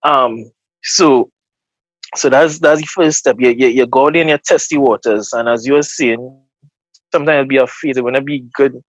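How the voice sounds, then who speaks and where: A man's voice: medium pitch, fairly even intonation, moderate pace, dry recording, lo-fi sound. A man with a Trinidadian accent speaking earnestly and casually in an interview, often repeating words as he gathers his thoughts. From Trinidad and Tobago. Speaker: guest.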